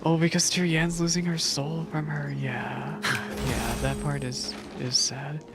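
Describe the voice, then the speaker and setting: A woman's voice: low voice, little pitch movement, takes it slowly. A woman giving casual, informal live stream commentary on a game, thinking aloud as she goes. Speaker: game streamer reacting to story. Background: game music.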